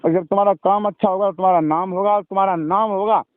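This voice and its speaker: A man's voice: high voice, fairly even intonation, quick pace, no echo. A man in an informal phone conversation, explaining didactically and repeatedly asking the listener to agree. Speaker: religious storyteller. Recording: narrow-band phone call recording.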